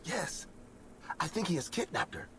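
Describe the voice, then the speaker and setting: A man voicing a nervous, polite young fast-food worker who stammers and speaks overly formally. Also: high-pitched, lively intonation, background noise, pitched above the performer's own voice. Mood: urgent.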